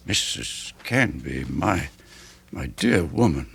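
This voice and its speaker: An actor playing a condescending, sanctimonious middle-aged gentleman in an old-time radio drama, patronizing, deliberate and pious in manner. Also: rumbling low, expressive, gravelly, breathy edge, full character voice. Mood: condescending, dismissive. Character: smooth-talking, condescending, sanctimonious, evasive gentleman.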